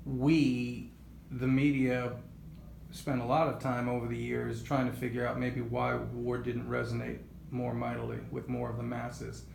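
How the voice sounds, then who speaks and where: A man with a gravelly voice, speaking conversationally as the host of a sports interview. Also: medium pitch, completely flat delivery, unhurried, darkish tone. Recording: roomy, echoey, faint background noise.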